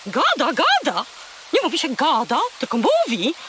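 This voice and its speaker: A haughty, sharp-tongued seagull from a children's radio play. The voice is very high and swings animatedly as it scolds, with some noise behind it. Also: female voice, huge pitch swings, a little slow, full character voice. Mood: indignant. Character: brash, scolding, haughty female talking seagull. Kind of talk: children's audio drama acting.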